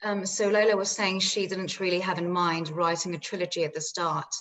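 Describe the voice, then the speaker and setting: A woman with a fairly low voice and flat intonation relays another speaker's answers. She works as an interpreter, summarising phrase by measured phrase. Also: low-quality recording. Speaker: interpreter at a post-show Q&A. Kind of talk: consecutive interpreting, explanatory summary.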